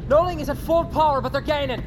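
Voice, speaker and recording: An alarmed crew member in audio fiction, urgent and panicky. The voice is strained extremely high while still deferring to command, amid clear vehicle noise. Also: male voice, fast. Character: alarmed, deferential crewman reporting to his captain. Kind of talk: audio fiction drama acting. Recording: noisy vehicle sound effects behind.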